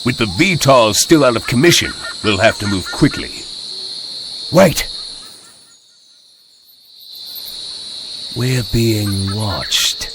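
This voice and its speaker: Wisecracking squad leader, loud background noise. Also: male voice, mid-range voice, animated, measured pace. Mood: wry, urgent. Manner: commanding, sarcastic. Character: gruff wisecracking male soldier squad leader. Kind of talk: animated action game cutscene acting. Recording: loud outdoor ambience and effects behind.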